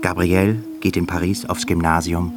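Very deep male narrator over music. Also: flat delivery, moderate pace. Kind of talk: scripted literary radio drama narration. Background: loud music.

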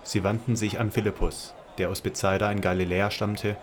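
Deep-voiced male Bible narrator. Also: little pitch movement, fairly quick, slight background noise. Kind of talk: scripted Bible audio drama narration.

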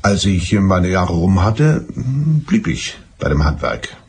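Radio drama suspect: middle-aged husband, ex-army, low gravelly voice, hesitant and guardedly polite. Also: male voice, big pitch swings, takes it slowly, darkish tone, exaggerated voice. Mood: composed, hesitant. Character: evasive male suspect husband, ex-army explosives expert. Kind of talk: German radio drama acting.

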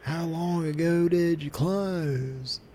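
A man hosting a live prank call show, deadpan, with mumbling asides. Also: a little high, slow, slight background noise.

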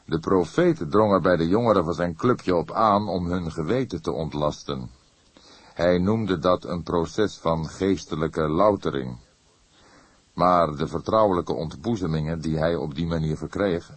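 A seasoned police inspector, acted by a male reader in a crime audiobook. His voice is deep and a little rough, recorded dry. He is thoughtful, wry and measured. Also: dry recording. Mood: explanatory. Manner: measured, deliberate. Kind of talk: audiobook character acting.

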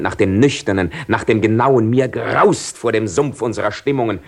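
Cynical seducer nobleman, low, sweeping delivery. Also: husky. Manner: theatrical, articulate, ironic, declaiming. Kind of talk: 1970 German radio drama acting.

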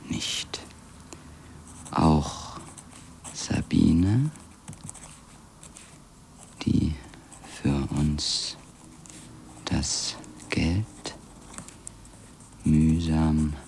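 An actor playing a brooding, wearily proud former editor who narrates a literary radio drama in a halting manner. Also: male voice, extremely low pitch, vocal fry, a little hiss or hum, full character voice. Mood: halting, murmuring. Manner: halting, repeats words, trails into murmurs. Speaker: narrator and protagonist of a radio play.

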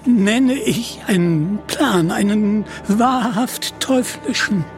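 A man's voice: high-pitched, slow pace, over music, voice pushed up. A man voicing an agitated, wary royal prince in a storybook, with a vehement, anxious delivery. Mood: gleeful awe. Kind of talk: storybook character acting.

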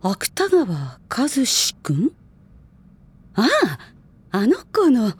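A woman playing a composed middle-aged schoolteacher who talks in a measured, reflective way. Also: fairly high pitch, wildly swooping pitch, slightly rough, exaggerated voice. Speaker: elementary school teacher. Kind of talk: anime drama CD acting.

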